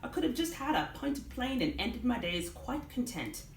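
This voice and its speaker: A woman's voice: a little high, big pitch swings, normal speed, pitched above the performer's own voice. A woman reading the part of a jilted young bride in a novel, sarcastic and emphatic. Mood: rueful. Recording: roomy live reading, background noise.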